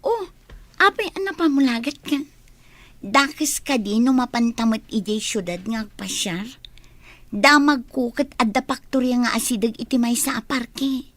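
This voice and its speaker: A woman's voice: a little high, expressive, moderate pace, fairly bright tone, no echo. A woman acting an eager, sociable young village friend in a radio drama. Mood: eager, coaxing.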